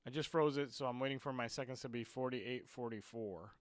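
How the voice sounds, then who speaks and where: A man live-streaming a football watchalong, switching between muttering while he fixes his stream clock and excited, frustrated rants about the players. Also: mid-range voice, fairly quick, no room sound. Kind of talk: live stream match commentary.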